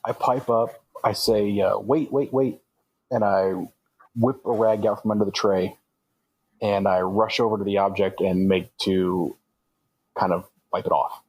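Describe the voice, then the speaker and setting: Husky low-voiced man at a gaming table. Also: steady tone, moderate pace, pauses often. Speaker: player in a tabletop roleplaying game. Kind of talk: casual improvised game talk.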